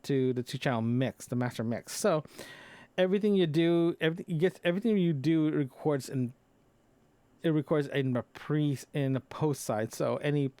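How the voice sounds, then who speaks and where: A man's voice: a little high, warm tone, dry recording. Man rambling casually, very dry close sound. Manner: rambling, halting, repeats and rephrases himself. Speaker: podcaster recording a personal audio log. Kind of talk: casual unscripted solo chat. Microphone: close microphone into a recorder, compressed.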